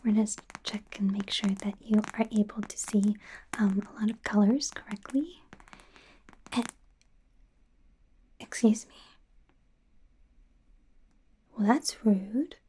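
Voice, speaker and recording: Slow whispering woman as a flustered werewolf doctor. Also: mid-range voice, steady tone, natural voice, rough recording. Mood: awkward, unsure, then defensive, flustered. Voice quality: soft breathy whisper. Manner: hesitant, stammering, softly flustered. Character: bumbling female werewolf doctor in training, defensive, eager. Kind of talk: whispered ASMR medical exam roleplay. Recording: faint background noise, close-up whispering.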